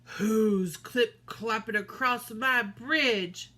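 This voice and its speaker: A stinky, menacing bridge troll from a children's tale, as a woman puts him on. He drags out every word and roars them loud, bullying whoever crosses his bridge. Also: on the high side, full character voice. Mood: gruff. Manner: slow, loud, roaring.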